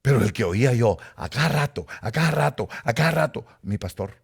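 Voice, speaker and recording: A man's voice: fairly quick, creaky, husky. A man from Costa Rica with a Guatemalan Spanish accent, preaching a sermon and telling personal stories. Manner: animated storytelling with sudden rises in pitch and volume.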